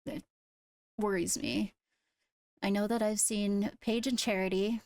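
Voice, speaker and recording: A woman acts out a caring female ruler addressing her court in a Minecraft roleplay. Her voice is mid-pitched with plenty of creak, recorded close and dry. She chooses words carefully and reassures as she goes. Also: steady tone, measured pace, darkish tone, no room sound, lightly acted. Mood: concerned, reassuring. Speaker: player leading a roleplay court.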